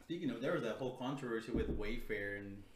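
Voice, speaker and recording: A man's voice: medium pitch, fast, slight background noise. A man, co-hosting a casual podcast chat in a loose, laid-back way, swearing now and then. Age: 30s. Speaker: podcast co-host. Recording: roomy echo.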